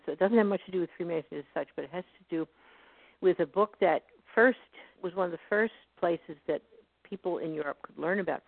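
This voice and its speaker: Female academic guest, measured scholarly delivery, creaky timbre, phone-quality dry audio. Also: sits low, no echo. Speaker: history professor guest. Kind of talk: online radio interview. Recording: tinny phone line, dry.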